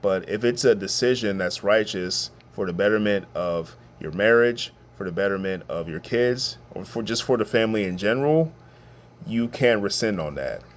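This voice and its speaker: Deep-voiced man giving casual advice. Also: animated, normal speed. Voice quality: deep, bassy voice. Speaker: podcast host giving family advice. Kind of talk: informal advice monologue.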